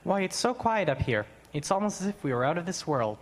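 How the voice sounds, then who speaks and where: A man's voice: fairly high pitch, very animated, brisk. A man playing an admiring, polite young assistant in an old-time radio drama. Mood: wondering, polite.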